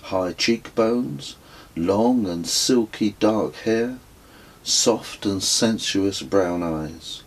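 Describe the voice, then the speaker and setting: Husky, slow-paced man reading his memoir. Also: on the low side, poor audio. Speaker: author reading own memoir. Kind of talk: audiobook narration of a reflective travel memoir.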